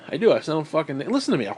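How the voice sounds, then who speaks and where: A man's voice: a little high, a little mellow, slight background noise. A man with a stuffed-up, nasal voice, talking in a bitter, profane, rambling monologue into a tablet. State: stuffed-up nose. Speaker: vlogger.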